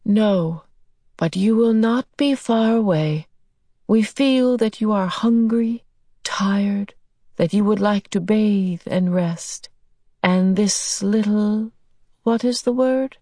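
A calm, furry tentacled healer from another world, acted out by a woman reading a storybook. The speech is very slow and careful, gentle in temper, as if feeling out each word. The sound is close and dry. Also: mid-range voice, no room sound, voice pushed up. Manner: slow, deliberate, groping for words. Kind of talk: audiobook character voice.

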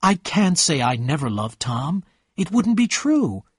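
A man's voice: on the high side, sing-song, a little slow, breathy edge, no room sound, studio quality, voice pushed up, exaggerated voice. A man voicing an unhappy, pleading young wife. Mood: unhappy, tearful. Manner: pleading, plaintive. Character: unhappy, pleading young married woman, torn in love.

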